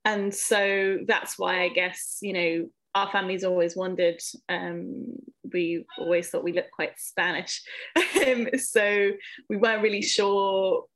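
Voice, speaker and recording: A woman's voice: medium pitch, fairly even intonation, unhurried, fairly bright tone. A young woman from England, a podcast guest, talking casually with many ums and self-corrections as she thinks aloud. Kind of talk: casual interview conversation.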